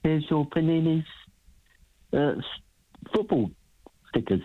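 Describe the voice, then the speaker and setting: A man's voice: fairly high pitch, animated, slow pace. Wry male caller on a dull phone line.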